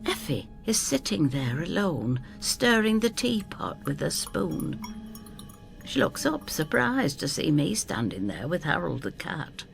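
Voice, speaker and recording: An actress playing a plucky, down-to-earth older woman who narrates her adventure in an expressive, wry first-person style. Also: low-pitched, measured pace, creaky, faint music behind, heavily acted. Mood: wry storytelling. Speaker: narrator and lead actor.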